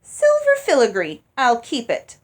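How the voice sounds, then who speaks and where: A woman's voice: sits high, wildly swooping pitch, a little slow, slightly rough, pitched above the performer's own voice, exaggerated voice. A woman voicing a vain, greedy older stepmother in a storybook, pouty and overwrought. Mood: greedy, indignant.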